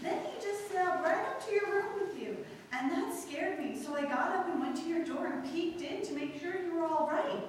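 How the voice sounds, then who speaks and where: A very high girlish voice echoes from far off, a woman as a nosy young kid sister in a radio drama. She is impish and gossipy, with faint noise on the recording. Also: expressive, brisk, few pauses. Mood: gleeful gossip. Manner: artless, teasing, gossipy. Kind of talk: 1940s radio play acting.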